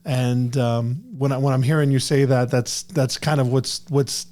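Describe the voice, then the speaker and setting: A man of middle pitch with a mellow, warm tone, hosting an interview. He rambles and restarts often, leaning on "you know" as he works toward his question. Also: flat delivery, unhurried. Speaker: podcast host. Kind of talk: casual interview chat.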